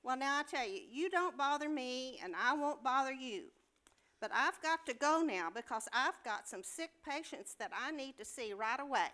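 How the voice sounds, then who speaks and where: A woman's voice: high voice, expressive, moderate pace, sharp tone. A woman playing a blunt, bossy, no-nonsense nurse in a staged play reading. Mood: brusque. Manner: blunt, threatening.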